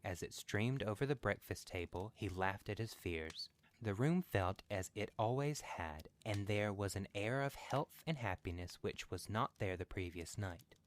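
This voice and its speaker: A man reads a story aloud for a podcast. His voice sits on the low side and follows a scripted narration.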